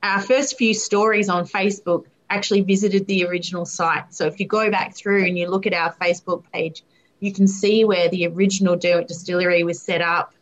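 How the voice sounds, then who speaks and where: A woman's voice: lowish voice, flat delivery, brisk, rough recording. A woman chatting casually as a distillery co-founder, telling detailed stories. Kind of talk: casual chat.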